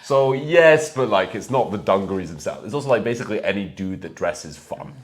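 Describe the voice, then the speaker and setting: A man's voice: on the low side, lively intonation, a little fast, a little hiss or hum. A man co-hosting a podcast in casual chat, joking and hesitant with many fillers. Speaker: podcast co-host.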